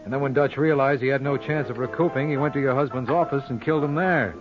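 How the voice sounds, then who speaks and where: An actor playing a wry, quick-witted American lawyer sleuth in an old-time radio detective drama, with slangy, folksy wisecracks. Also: male voice, medium pitch, close to an everyday voice. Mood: explaining. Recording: dull old radio recording with music.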